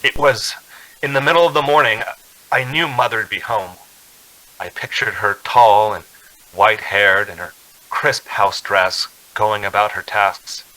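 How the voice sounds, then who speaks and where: An actor playing a distraught, haunted traveler in a radio drama, speaking dramatically and stammering when shaken. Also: male voice, fairly low pitch, measured pace, pushed and bright, poor audio. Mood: wistful. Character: distraught, haunted male traveler on the edge of panic. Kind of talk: old-time radio drama acting.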